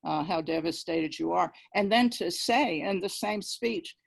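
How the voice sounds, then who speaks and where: A woman's voice: lowish voice, a little slow, vocal fry, slightly rough. A woman speaking emphatically in an informal literary discussion on a video call.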